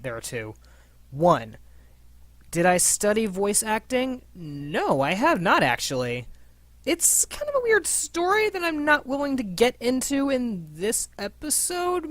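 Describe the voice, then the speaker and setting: A woman's voice: a little high, fairly bright tone, no room sound. A woman hosting a casual scripted Q&A in an informal, playful, sweary manner. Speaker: host and voice actor of a fan abridged series.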